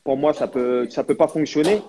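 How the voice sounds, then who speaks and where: A man's voice: a little high, moderate pace, dark tone. A man hosting a football live stream, talking casually with his guest and repeating words for emphasis.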